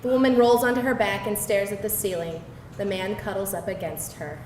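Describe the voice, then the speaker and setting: A woman reads stage directions as narrator at a live table read. Her medium-pitched voice comes from off the mic in an echoey room with faint noise. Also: steady tone, brisk, recorded from a distance.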